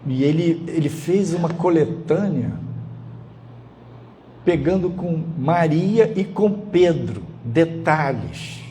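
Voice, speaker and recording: A man from Brazil with a carioca accent, a pastor retelling a story as a measured, dramatic sermon. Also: fairly high pitch, lively intonation, mellow, slight background noise. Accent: Brazilian Portuguese, carioca.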